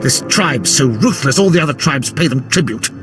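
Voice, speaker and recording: An actor playing a pompous, fawning, fussy military officer in a video game, with florid delivery. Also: male voice, medium pitch, animated, normal speed, lightly acted. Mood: flattering, indignant. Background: loud music.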